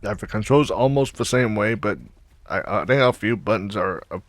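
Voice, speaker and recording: Low-voiced, halting man gaming. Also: animated. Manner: hesitant, halting. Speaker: gaming video host. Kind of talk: casual let's play commentary, reading dialogue aloud.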